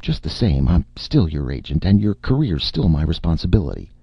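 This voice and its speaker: A man voicing a feisty, bossy woman in a story, a sharp-tongued agent who scolds briskly and emphatically. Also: rumbling low, big pitch swings, vocal fry, breathy edge, no echo, muffled recording, pitched above the performer's own voice, heavily acted. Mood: firm, bossy.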